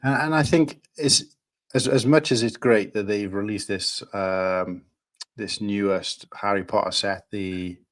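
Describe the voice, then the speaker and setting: A man's voice: lowish voice, steady tone, a little slow. A man in his thirties with a Cardiff-area Welsh accent, chatting casually as a podcast guest, often hesitating and restarting. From Wales. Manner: hesitant, many ums and restarts. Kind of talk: casual chat.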